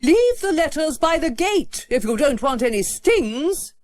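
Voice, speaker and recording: A woman voicing a brisk, confident, no-nonsense country beekeeper in a children's storybook. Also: high-pitched, very animated, darkish tone. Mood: brisk, unworried.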